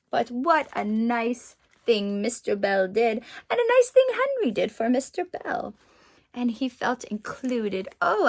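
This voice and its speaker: A woman reads to children as a doting Mrs. Claus in a very high voice. Her delivery is slow and drawn out, with lively swings in pitch and gushing exclamations. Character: Mrs. Claus, sweet doting excitable Christmas lady. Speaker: storyteller playing Mrs. Claus. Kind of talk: children's story read-aloud with excited asides.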